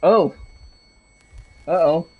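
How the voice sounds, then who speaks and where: A mid-pitched game streamer making casual remarks during play. The sound is a little dull and lo-fi, with game music underneath. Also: extremely slow. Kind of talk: casual gameplay commentary.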